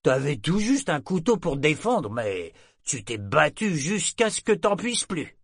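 Guy doing a sloshed old sailor, mumbly and slurry, kinda high and slow. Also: very animated, breathy edge, no room sound, studio quality, full character voice. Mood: boozy, rambling. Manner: slurred, mumbling drunken talk. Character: drunk old male sailor, gap-toothed, boastful.

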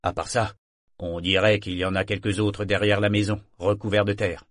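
Marin sinistre joué par le lecteur, ton factuel, voix grave, un peu plus forte. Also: male voice, fairly low pitch, flat delivery, normal speed, no room sound, studio quality, lightly acted. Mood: grim.

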